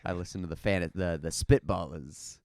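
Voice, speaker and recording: A man's voice: low-pitched, steady tone, normal speed, gravelly, darkish tone, no room sound. A young man co-hosting a podcast in casual chat, with dry, teasing humor. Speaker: podcast co-host.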